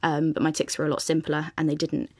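Low-voiced young woman, reflective. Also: monotone, quick pace, darkish tone, dry recording, studio quality. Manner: reflective, with hedging fillers. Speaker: guest. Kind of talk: interview answers.

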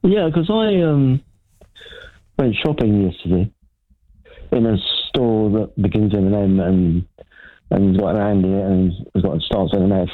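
A man's voice: lowish voice, expressive, a little slow, long pauses. A man calling into a radio show, rambling playfully through a story. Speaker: caller. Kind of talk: casual phone-in chat. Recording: tinny phone line.

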